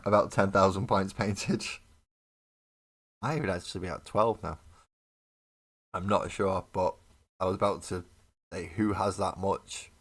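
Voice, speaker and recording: A man's voice: low-pitched, steady tone, a little slow, pauses often, fairly bright tone, husky. A man hosting a model painting livestream, chatting casually with viewers as he builds, with a morning cough and frequent laughs. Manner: chatty, laughs often, talks to viewers in chat. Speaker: mini painting livestream host.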